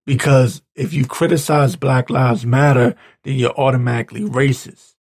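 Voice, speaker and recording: Raspy man ranting, gravelly and halting. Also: mid-range voice, steady tone. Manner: halting, exasperated, blunt. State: hoarse, clearing his throat. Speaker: political commentator reading tweets and articles. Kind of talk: informal opinion rant.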